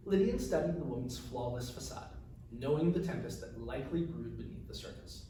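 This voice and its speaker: A man reading his fantasy novel aloud as its author, in an expressive storytelling style. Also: mid-range voice, brisk. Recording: echoey room, distant mic, faint noise.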